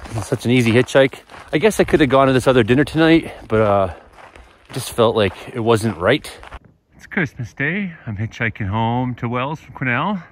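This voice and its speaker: A man's voice: medium pitch, expressive, normal speed, slight background noise. A man in his forties with a Canadian accent, chatting casually and in a rambling, folksy way as he vlogs into a phone outdoors. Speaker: vlogger. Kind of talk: casual vlog chat. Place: mostly outdoors walking on a snowy rural road. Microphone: phone at arm's length.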